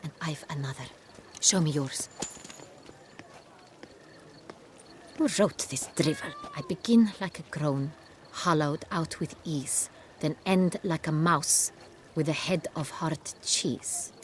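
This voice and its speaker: An actress playing a commanding, self-assured young aristocratic woman, speaking in a brisk, formal, imperious way. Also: deep voice, a little hiss or hum.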